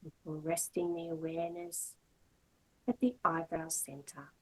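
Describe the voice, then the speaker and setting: Low, husky woman guiding meditation. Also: flat delivery, darkish tone, low-quality recording. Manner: slow, measured, with long pauses between short phrases. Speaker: yoga teacher. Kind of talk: guided meditation instruction.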